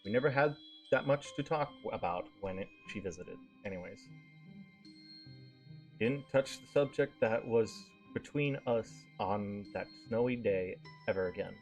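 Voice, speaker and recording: A man's voice: on the low side, moderate pace, soft background music. A male streamer reading a visual novel aloud with casual asides, stumbling over words and swearing casually.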